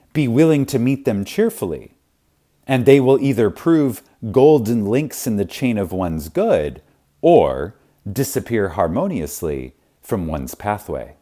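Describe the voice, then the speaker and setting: A man narrating a spiritual audiobook in a reverent, emphatic manner with dramatic pauses. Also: medium pitch, dark tone. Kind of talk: scripted audiobook reading of spiritual teaching.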